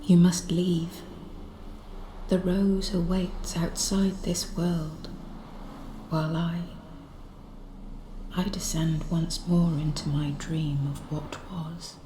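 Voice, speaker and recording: A sorrowful, drowsy noblewoman in a fantasy video game. Her voice is on the low side and fades into a very slow murmur. It sits in an echoing, noisy space. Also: flat delivery. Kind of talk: video game dramatic acting. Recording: roomy echo, noisy game ambience.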